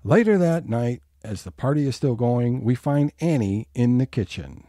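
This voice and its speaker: A man's voice: mid-range voice, expressive, moderate pace, warm tone, dry recording, studio quality, lightly acted. A man delivering scripted scene-setting narration for an audio comedy.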